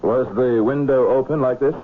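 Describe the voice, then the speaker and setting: An actor playing a measured magistrate in a radio mystery, asking clipped, understated questions with dry calm. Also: male voice, mid-range voice, close to an everyday voice. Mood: probing, calm. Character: measured, dryly probing male island magistrate investigating a death. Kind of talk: 1970s radio mystery acting. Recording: muffled phone-like old radio, faint noise.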